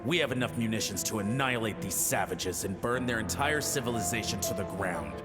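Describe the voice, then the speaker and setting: A man's voice: fairly low pitch, animated, a little fast, natural voice. A man voicing an obedient, ruthless soldier in a game, with clipped, confident military delivery. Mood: dutiful, callous. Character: obedient, ruthless soldier reporting to his superior. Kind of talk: dramatic game voice acting. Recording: long reverb, loud music underneath.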